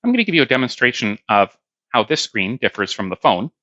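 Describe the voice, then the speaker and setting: A man's voice: mid-range voice, big pitch swings, quick pace, bright, forceful tone, dry recording, lo-fi sound. A man giving a hands-on product demonstration, explaining plainly step by step.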